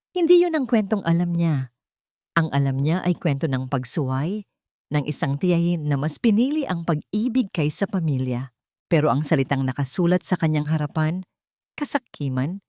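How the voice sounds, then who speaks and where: A woman narrating a dramatic audio story in a measured storytelling manner, with hushed moments. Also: sits low, no room sound, clean studio sound.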